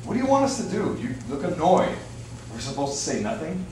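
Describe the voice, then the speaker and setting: A man's voice: on the high side, sing-song, fairly quick, a little mellow. A man playing a concerned, stern father in a staged play reading. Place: indoor room, live staged reading. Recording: echoey room, distant mic, faint noise.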